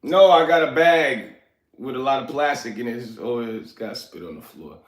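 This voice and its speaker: A man's voice: medium pitch, animated, normal speed. Rambling 40s man, echoey room. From Liberia. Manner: loose, rambling, answers chat by name. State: recovering from illness, coughing. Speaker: livestream host. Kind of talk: casual livestream chat. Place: apartment.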